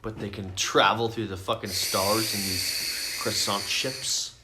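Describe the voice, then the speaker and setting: A man's voice: lowish voice, fairly even intonation, unhurried, very noisy. A man co-hosting a podcast, chatting informally and slangily with friends. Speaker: podcast co-host. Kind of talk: casual movie discussion among friends.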